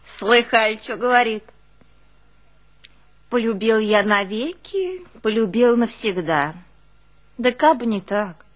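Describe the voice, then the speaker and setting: Slow, high-voiced northern Russian village woman. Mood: emotional, confiding. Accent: northern Russian rural dialect. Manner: folksy, expressive, exclaiming and musing aloud. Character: old northern Russian peasant woman recalling her youth, artless, emotional. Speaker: stage actress in a one-woman play. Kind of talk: theatrical folk monologue, storytelling.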